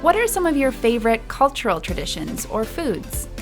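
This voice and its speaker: A woman interviewer reading scripted questions in a clear, even way. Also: on the high side, moderate pace, soft background music.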